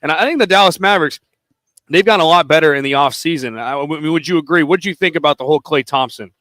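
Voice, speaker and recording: A man's voice: on the high side, fast, no echo. Man reading betting odds on radio. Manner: steady, setting up topics with odds. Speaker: sports radio host. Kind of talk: sports betting radio talk. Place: radio studio. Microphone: studio microphone.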